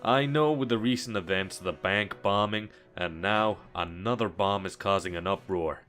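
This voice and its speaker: A man's voice: fairly low pitch, moderate pace, fairly bright tone, faint music behind, natural voice. A man playing a reassuring city mayor who makes a formal public statement in an audio drama. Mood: solemn reassurance.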